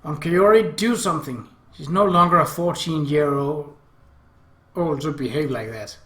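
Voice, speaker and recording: A man's voice: a little high, normal speed, a bit bright, close to an everyday voice. A man voicing a scolding, easily flustered older sister in an anime visual novel, indignant and exasperated. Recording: roomy echo.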